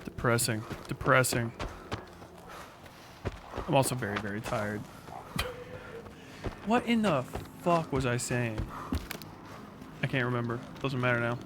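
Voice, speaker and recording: Sweary male livestreamer over clear background noise. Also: mid-range voice, lively intonation, measured pace, long pauses. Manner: profane, slangy, rambling. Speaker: livestreamer reacting to a video. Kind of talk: casual livestream chat while gaming. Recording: clearly noisy livestream audio with clicking.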